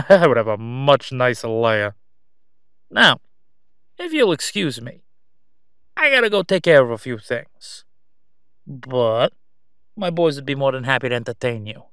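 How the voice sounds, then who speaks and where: A man's voice: mid-range voice, big pitch swings, unhurried, long pauses, fairly bright tone, smooth, dry recording, studio quality. A man playing a cocky, wisecracking crime boss with sneering, folksy gangster patter in an audio roleplay. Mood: gloating, mocking.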